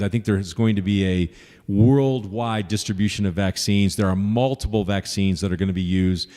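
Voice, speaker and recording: A man's voice: fairly low pitch, a little mellow, in a large hall. A man running for office, earnestly answering audience questions at a forum and listing his points with frequent fillers. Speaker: congressional candidate.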